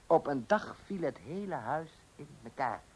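An actor playing a smooth-talking, patronising insurance salesman in a comic radio play, coaxing and schoolmasterly. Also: a little high, very animated, moderate pace, darkish tone, slight background noise. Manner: coaxing, schoolmasterly, leading with questions.

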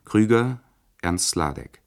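Deep, gravelly male radio announcer. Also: lively intonation, slow. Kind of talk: formal radio credits announcement.

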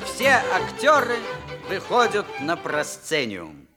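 A man narrating a radio play in a scripted, theatrical storytelling style with deliberate pauses. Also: sits high, wildly swooping pitch. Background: music with violins.

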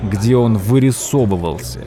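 A man's voice: on the low side, normal speed, music in the background, natural voice. An actor playing a nervous nephew who narrates the story of his uncle in an audio drama. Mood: measured, storytelling.

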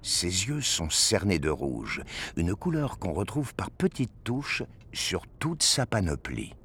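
A man narrating a scripted science-fiction audio story in a deliberate, dramatic storytelling manner. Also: low-pitched, wildly swooping pitch, a bit bright, slight background noise.

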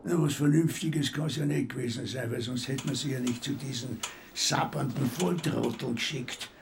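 A man's voice: medium pitch, moderate pace, vocal fry, a little hiss or hum, lightly acted. An actor playing a bitter, sarcastic bedridden old man in a radio drama, mocking and blunt, with an Austrian accent. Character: bitter, sarcastic, bedridden old male care-home patient.

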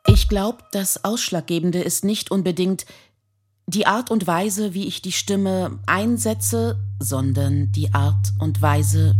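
A woman's voice: on the low side, a little mellow, slightly rough. A woman speaking reflectively, as in a spoken performance piece.

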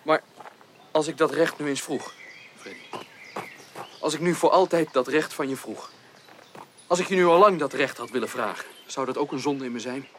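An actor playing an ardent, easily wounded young gentleman suitor in a radio drama, earnest and hesitant in formal speech. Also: fairly high pitch, normal speed, long pauses, slight background noise, lightly acted. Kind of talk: 1980s Dutch radio drama acting.

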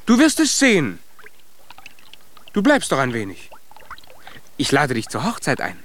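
An actor playing a proud, cheerful young dwarf inventor in a children's radio play, speaking with boastful emphasis. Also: male voice, fairly high pitch, wildly swooping pitch, a little slow, a bit bright, heavily acted. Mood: proud, excited. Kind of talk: children's radio play acting with some singing.

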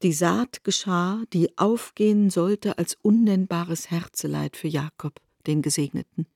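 Lowish-voiced female narrator. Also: a little slow, vocal fry, darkish tone, slightly rough, dry recording, studio quality. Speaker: narrator of a radio drama. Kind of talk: literary scripted narration.

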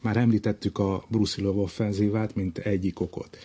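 A man's voice: fairly low pitch, little pitch movement, mellow, husky. A man hosting a history interview, putting structured questions to a guest. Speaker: interviewer, host.

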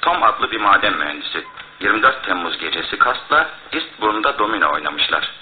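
A man's voice: lowish voice, sing-song, brisk, soft background music. A male actor playing a courteous, businesslike police inspector in a radio drama, matter-of-fact and official. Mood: brisk, official. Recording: tinny, noisy old radio recording.

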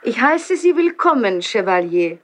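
A woman's voice: fairly high pitch, sing-song, a little slow. A woman playing a gracious, self-possessed queen in a classical German verse radio drama, speaking in a stately, formal courtly manner.

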